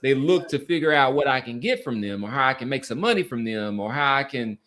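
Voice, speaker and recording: A man hosts a casual sports podcast in a medium-pitched voice. He speaks earnestly in long, halting stretches, often repeating words as he builds his point. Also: animated, fairly bright tone. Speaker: podcast host. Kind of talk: casual sports talk and commentary.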